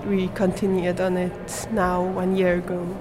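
A woman's voice: on the low side, completely flat delivery, a little slow, background noise. A young woman, answering interview questions in English as a performance artist, searching for words with many fillers. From Switzerland.

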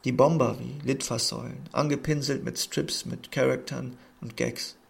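Creaky, husky man reading a literary text. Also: mid-range voice, fairly even intonation, moderate pace. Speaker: narrator.

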